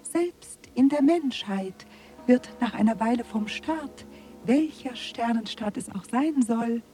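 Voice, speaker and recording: An actress playing a serene, superior alien authority in a German radio drama, speaking in an aloof, deliberate way. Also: female voice, on the high side, lively intonation, dark tone, faint music behind. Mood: superior, detached.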